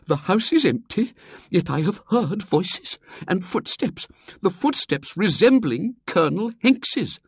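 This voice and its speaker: A woman voicing a pompous, stern elderly spinster who declaims loudly with a tremble of eagerness. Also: mid-range voice, sing-song, a little slow, slightly rough, no echo, clean studio sound, voice pushed up, heavily acted. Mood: solemn, eager.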